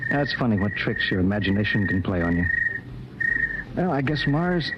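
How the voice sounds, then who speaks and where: An actor playing a skeptical spaceship captain in an old radio drama, reasoning aloud with a hesitant delivery. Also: male voice, lowish voice, very animated, moderate pace, muffled recording, heavy background noise. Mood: musing, dismissive. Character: skeptical male spaceship captain, polite son back home. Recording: old radio broadcast under loud music. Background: loud music.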